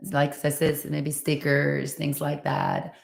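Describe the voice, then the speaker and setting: A woman's voice: deep voice, huge pitch swings, slow pace, gravelly, roomy sound. A woman from Finland chatting casually in an interview, with many fillers and easy laughter. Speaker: guest running a school in Tanzania.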